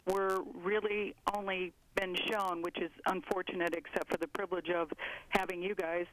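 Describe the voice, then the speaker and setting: A man's voice: sits high, flat delivery, normal speed, fairly bright tone, no room sound. A man calling in with a political opinion, talking in a wordy, formal, rambling way. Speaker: phone-in caller. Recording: dry telephone line.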